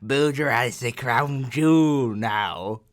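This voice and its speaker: A man's voice: medium pitch, slow pace, natural voice. A man voicing a small, grubby blue swamp creature in an audiobook, gloating and greedy, crowing theatrically about himself in the third person with a phlegmy voice. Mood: bitter, defiant.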